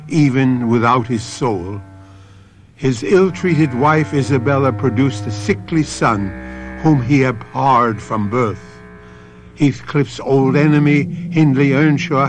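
An actor serving as solemn host and narrator of a Gothic radio drama. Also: male voice, mid-range voice. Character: solemn storyteller of a Gothic mystery show.

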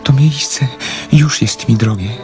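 An actor playing a lonely, ardent young man, a dreamer in a radio drama, who talks in a fervent and halting way. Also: medium pitch, close to an everyday voice. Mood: wistful. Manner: fervent, halting, swooping between hushed and elated. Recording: radio play with music underneath.

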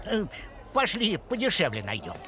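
A woman's voice: medium pitch, huge pitch swings, normal speed, pushed and bright, some chatter behind, slight background noise. A woman voicing a spirited, practical young male animal in a cartoon, blunt and matter-of-fact. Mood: firm, thrifty. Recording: music behind.

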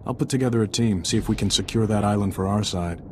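A man's voice: on the low side, fast talker, darkish tone, a little hiss or hum, natural voice. A man playing a seasoned intelligence agent in a video game, wry and matter-of-fact. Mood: pragmatic.

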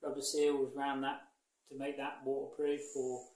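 A man's voice: medium pitch, completely flat delivery, moderate pace, a little mellow. A man from England chatting casually in a small bathroom during a home renovation, dry and understated, picking faults with the build. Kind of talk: casual chat. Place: small tiled bathroom of an old house. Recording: echoey bathroom.

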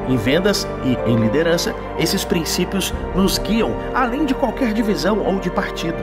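A man giving earnest, inspirational narration as a channel host, with rhetorical questions. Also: sits high. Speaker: channel host, sales and leadership speaker. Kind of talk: scripted motivational narration. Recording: voice-over over loud music. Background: loud background music.

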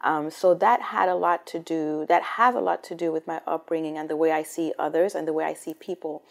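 A woman's voice: low voice, fairly even intonation, a little fast, vocal fry. A woman from Cameroon, a singer, answering interview questions in an eager, storytelling way. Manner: enthusiastic, storytelling, repeats words. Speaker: singer and rapper guest. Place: hotel room interview set, indoors.

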